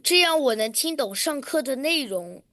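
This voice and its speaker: A woman's voice: high voice, expressive, moderate pace, pushed and bright, dry recording, studio quality. A woman reading a prepared public statement in short recited sentences. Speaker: student public speaker.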